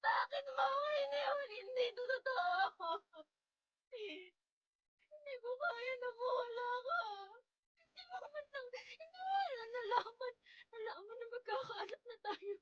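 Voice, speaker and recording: A grief-stricken young Filipino wife in a radio drama. Her very high voice breaks with tears as she pleads and wails in repeated bursts. The sound is dry and close. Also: animated, measured pace, a bit bright, dry recording, highs cut off, full character voice. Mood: desperate sobbing. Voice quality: tearful, breaking voice. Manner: pleading, repetitive wailing.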